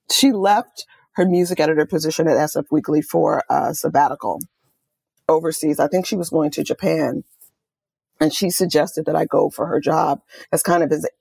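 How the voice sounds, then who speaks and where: A woman's voice: deep voice, vocal fry, no room sound. A middle-aged woman from Oakland, California, an author and music journalist, telling stories with enthusiasm in an interview. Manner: enthusiastic, animated storytelling with quoted asides. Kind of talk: conversational interview.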